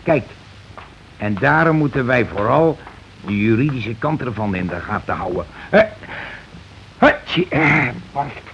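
A man's voice: medium pitch, animated, measured pace, long pauses, a bit bright. A man acting in a radio play as a bossy, blustering working-class husband who argues colloquially and keeps demanding agreement. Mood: grumbling, searching. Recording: dull, noisy old radio recording.

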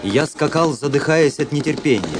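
A man's voice: on the high side, expressive, normal speed, close to an everyday voice. An actor playing an anguished, brooding officer who narrates his lost love in a radio drama, with theatrical, intense phrasing. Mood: frantic anguish. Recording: prominent music under the voice. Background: music.

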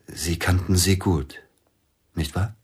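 Radio play: a bereaved pianist, deep voice, husky and hushed. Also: male voice, steady tone, slow, full character voice. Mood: grieving. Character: grieving widowed male concert pianist. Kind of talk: radio drama acting.

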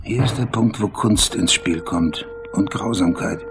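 An actor narrating a radio drama in a measured, reflective, essay-like way. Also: male voice, low voice, noisy background.

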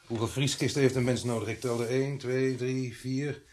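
An actor playing a sardonic, bossy police sergeant in a radio play, brisk and commanding. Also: male voice, medium pitch, level tone, darkish tone, close to an everyday voice. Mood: wry. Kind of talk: radio drama acting.

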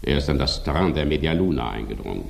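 An actor playing a cold, domineering landowner in a radio drama, curt and imperious. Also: male voice, rumbling low, animated, fast, vocal fry, a little mellow, full character voice. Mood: cold, commanding. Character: domineering, ruthless male landowner, cold and commanding. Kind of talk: German radio drama acting.

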